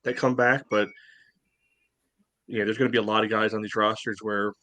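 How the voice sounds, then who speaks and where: A man's voice: lowish voice, little pitch movement, fairly quick, sharp tone, a little hiss or hum. A man co-hosting a sports podcast, talking bluntly and sarcastically with lots of filler words. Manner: blunt, sarcastic, many "you know" fillers. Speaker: podcast co-host. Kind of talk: casual sports podcast chat.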